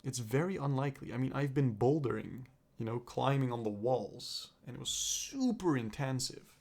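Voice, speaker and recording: Gravelly young man rambling in a vlog. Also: medium pitch, lively intonation, moderate pace, a little mellow. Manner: rambling, restarts and swears, thinking aloud. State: recovering from a cold, throat clearing up. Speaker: vlogger. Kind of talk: personal video diary.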